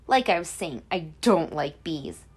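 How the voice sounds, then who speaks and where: A woman voicing a grumpy, nervous picture-book buddy who grumbles reluctantly with deadpan complaints. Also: on the low side, unhurried, husky, faint music behind, pitched below the performer's own voice, exaggerated voice.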